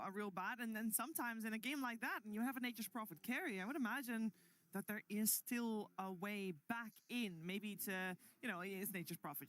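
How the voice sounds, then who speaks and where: A woman's voice: medium pitch, fairly bright tone. A woman hosting a live esports analysis desk, leading the panel with questions and drawing out words for emphasis. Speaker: esports broadcast host.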